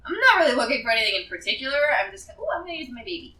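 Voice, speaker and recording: A woman's voice: on the high side, very animated, racing, bright, forceful tone. A woman bantering casually as a player in a tabletop game. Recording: roomy, noisy, distant group mic.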